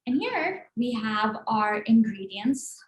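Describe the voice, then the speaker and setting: A woman's voice: fairly high pitch, very animated, slow. A young woman from Pakistan with a Pakistani accent, co-hosting and explaining a science lesson in an earnest way, with frequent ums. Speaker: co-host, chemistry graduate student. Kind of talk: casual educational chat. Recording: echoey room, mic far off, noisy.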